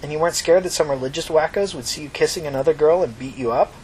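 A man's voice: on the high side, quick pace, darkish tone, slight background noise, lightly acted, poor audio. A man voicing an earnest, inquisitive young woman in a story reading, blunt yet sympathetic. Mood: curious.

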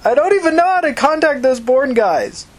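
Lady narrator doing angry runaway girl in a story, bitter, hoarse, pitched way up, swings all over. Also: expressive, moderate pace. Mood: angry, demanding. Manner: shouting accusations, profane, bitter. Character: enraged, despairing runaway young woman. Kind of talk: audiobook character acting.

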